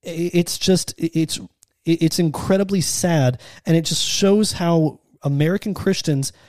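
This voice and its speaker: A man co-hosting a casual faith podcast, talking earnestly with halting restarts. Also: a little high, darkish tone, no room sound, clean studio sound. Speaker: podcast co-host.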